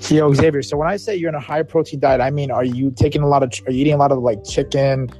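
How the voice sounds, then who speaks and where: A man's voice: mid-range voice, fairly even intonation, fast talker, warm tone, husky, soft background music. A man in a casual group chat who rambles and lectures, repeating names to get attention. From Greek heritage.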